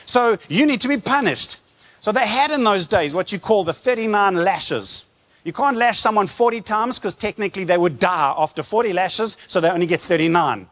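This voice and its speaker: A man preaching in an animated storytelling style, using rhetorical questions, emphatic repetition and informal retelling. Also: sits high, brisk, big-room sound.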